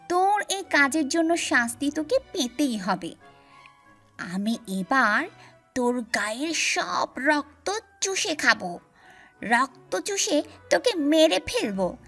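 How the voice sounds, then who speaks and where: A woman voicing a huge, vengeful female ghost in a children's horror cartoon, with drawn-out, menacing threats and a cackling manner. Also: high-pitched, a bit bright, heavily acted. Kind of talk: animated children's horror story.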